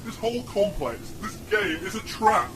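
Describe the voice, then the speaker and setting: An actor playing a weary, solemn man cursed to be a dishwasher, confessing haltingly in an audio drama. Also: high-pitched, sing-song, background noise. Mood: weary, confessional.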